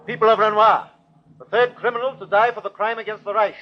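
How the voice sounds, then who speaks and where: An actor playing a ruthless, fanatical German army captain with a commanding, clipped delivery in an old-time radio drama. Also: male voice, sits high, steady tone, fast, lightly acted. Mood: harsh, declamatory. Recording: dull vintage radio recording.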